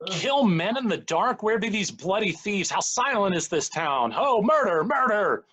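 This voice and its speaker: A man's voice: sits high, sing-song, measured pace, a bit bright, breathy edge, pitched above the performer's own voice, exaggerated voice. A man playing a scheming villain in a stage tragedy, declaiming urgently with feigned alarm and outrage. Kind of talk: Shakespeare recitation.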